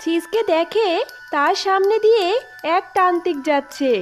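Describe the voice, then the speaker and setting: A woman's voice: extremely high pitch, moderate pace, soft background music. A woman narrating a scripted cartoon story.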